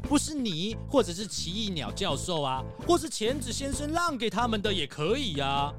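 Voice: mid-range voice, sing-song, moderate pace, music in the background, close to an everyday voice. A voice actor playing an irritable, self-centred young fox guide who argues and complains in a children's audio drama. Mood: sulky, annoyed. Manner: indignant, argumentative, complaining.

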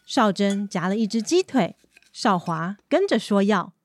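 A woman's voice: medium pitch, very animated, measured pace, slight background noise. A woman narrating a scripted children's story as the narrator of a radio drama.

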